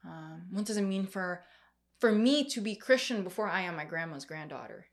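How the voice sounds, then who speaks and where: A woman's voice: fairly low pitch, lively intonation, moderate pace, vocal fry. A young woman with a Korean family background, preaching a sermon in a conversational way with many fillers and restarts.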